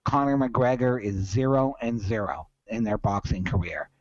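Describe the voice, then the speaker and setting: A man's voice: fairly low pitch, moderate pace, darkish tone, no room sound. Man, dry and dull-sounding, emphatic podcast talk. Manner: emphatic, opinionated, repeats points for stress. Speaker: sports betting podcast host. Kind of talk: casual betting commentary.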